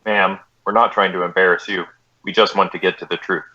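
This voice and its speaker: A man playing a low-voiced, stoic police detective. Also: a little fast, lo-fi sound. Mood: stoic, firm. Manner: deadpan, clipped, matter-of-fact. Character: stoic, polite, by-the-book male police detective. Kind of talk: radio police drama acting with narration.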